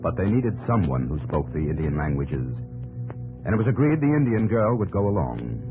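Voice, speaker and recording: A man's voice: low voice, very animated, moderate pace, low-quality recording. A man narrating a 1950s radio drama in a solemn, portentous style with short, weighty phrases. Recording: old 1950s radio recording with music.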